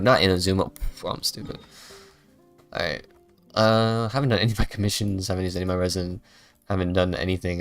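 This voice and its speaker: A man chatting casually as a game streamer, rambling and self-deprecating. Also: fairly low pitch. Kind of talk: casual livestream gameplay chat.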